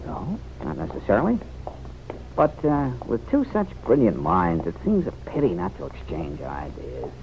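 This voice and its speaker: Young man, vintage radio serial: lowish voice, very animated, bantering, on a noisy old recording. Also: moderate pace. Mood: playful. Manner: bantering, chuckling. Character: teasing, good-humoured young man, Mary's son. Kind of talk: old-time radio drama acting.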